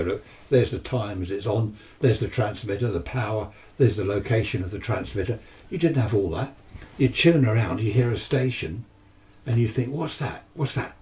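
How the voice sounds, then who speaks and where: An older British man with a low, mellow voice talks in a casual, chatty way. He rambles enthusiastically, often putting questions to himself and answering them. The microphone sits some distance from him. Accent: British English. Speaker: amateur radio hobbyist presenter. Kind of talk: casual rambling chat to camera.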